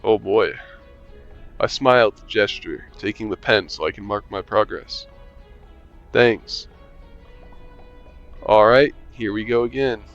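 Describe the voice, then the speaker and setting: A man reading a visual novel aloud as a gamer, voicing narration and the player in a relaxed, wry way and muttering while he plays. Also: fairly low pitch, measured pace, long pauses. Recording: lo-fi mic with faint music.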